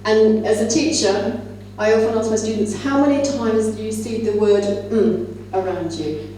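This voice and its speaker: Echoey, mellow-voiced woman giving a talk. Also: medium pitch, normal speed. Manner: explanatory, conversational. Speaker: conference plenary speaker, English teacher trainer. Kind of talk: conference talk to teachers. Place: large indoor conference room. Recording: echoey hall, distant mic, faint hum.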